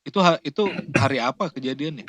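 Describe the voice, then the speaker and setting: A man's voice: mid-range voice, lively intonation, fast, fairly bright tone, no room sound. A man hosting a podcast interview. He chats casually, exclaims often and laughs a lot. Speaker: podcast host.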